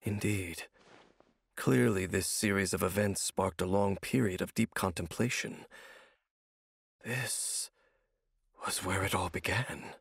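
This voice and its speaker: A man playing a grave, secretive, world-weary wanderer in a video game, with a gravelly, husky voice and solemn, cryptic manner. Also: low voice, takes it slowly, long pauses, no room sound, full character voice. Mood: pensive, grave.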